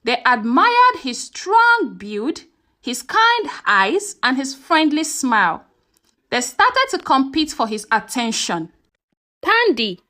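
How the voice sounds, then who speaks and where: A woman's voice: sits high, bright, forceful tone. A woman narrating a scripted folktale in a dramatic storytelling manner, posing questions to her listeners.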